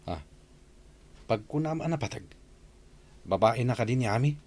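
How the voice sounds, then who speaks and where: A man's voice: mid-range voice, lively intonation, normal speed, a little mellow, close to an everyday voice. A man playing a dutiful, questioning security guard in a conversational audio drama, with faint background noise. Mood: curious.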